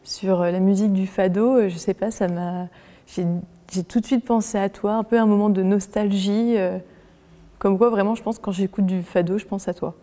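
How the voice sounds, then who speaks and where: A woman in her twenties talking casually in an interview, hesitant, with many pauses and fillers. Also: mid-range voice, fairly even intonation, dark tone, in a large hall. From France. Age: 20s. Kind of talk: casual conversation.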